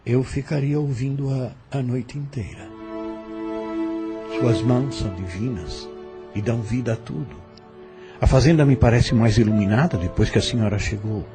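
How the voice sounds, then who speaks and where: An actor in an audio novel playing a courteous, reserved, educated farm overseer who speaks in a formal, measured, gallant way. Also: male voice, medium pitch, over music, lightly acted. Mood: admiring.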